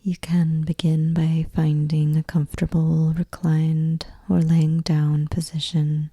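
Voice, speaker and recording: Soft, slow, whispery woman guiding meditation. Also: low-pitched, no echo. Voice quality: soft, breathy near-whisper. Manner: soothing, unhurried, measured. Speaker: yoga nidra meditation guide. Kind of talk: guided meditation.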